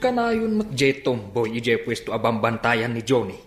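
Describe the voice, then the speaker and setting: A man's voice: mid-range voice, sing-song, normal speed, echoey room, over loud music, close to an everyday voice. A man playing an easygoing, curious father in a Filipino radio drama, conversational and questioning. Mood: curious, amused. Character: easygoing, curious father chatting about his child.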